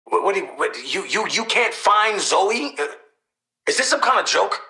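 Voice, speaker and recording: A video game's sardonic hired killer, American and streetwise. The voice is very bright and forceful, cutting through a roomy echo. He talks bluntly and wryly, a cocky antihero who has grown tired of the whole business. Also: sits high, sing-song, normal speed, heavily acted. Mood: alarmed, stammering. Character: cocky, sardonic, world-weary male assassin antihero. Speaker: voice actor playing a video game character. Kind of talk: scripted video game voice lines.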